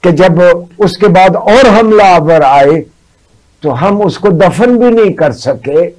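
An elderly Pakistani man in his seventies speaks in a fairly high voice at a very unhurried pace. He tells his stories with emphasis, repeating phrases to drive them home, as a guest on a studio talk show. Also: lively intonation, a little mellow, poor audio. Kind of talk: storytelling in a TV discussion. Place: indoor TV studio. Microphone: lapel mic.

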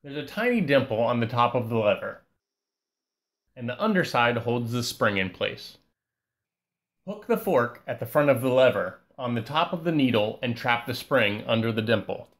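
A man giving a calm, scripted voice-over that walks through a repair step by step. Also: mid-range voice. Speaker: repair channel host.

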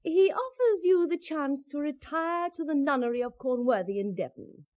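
A woman's voice: sits high, animated, moderate pace, darkish tone. An actress playing a cruel, mocking lady of the manor in a 1970s radio drama, with a sneering, imperious manner. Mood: silky disdain.

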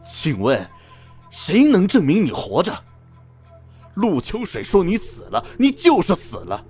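A man's voice: high voice, huge pitch swings, unhurried, darkish tone, faint music behind, exaggerated voice. A man playing a cold, menacing general of ancient China in a costume radio drama, commanding and taunting. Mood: taunting, bitter.

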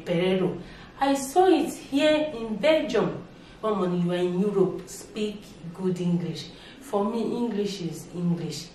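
Nigerian woman vlogging in an echoey room. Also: mid-range voice. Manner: animated, repeats words, mixes in Nigerian Pidgin. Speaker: YouTuber. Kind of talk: casual opinion vlog to camera. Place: indoors, living room with a sofa. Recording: echoey room, distant mic, faint background hiss.

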